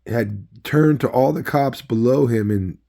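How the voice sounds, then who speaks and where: A man who is losing his voice, telling a long personal story in a rambling, halting way with many fillers. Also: medium pitch, warm tone. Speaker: video host. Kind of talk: casual personal storytelling to camera.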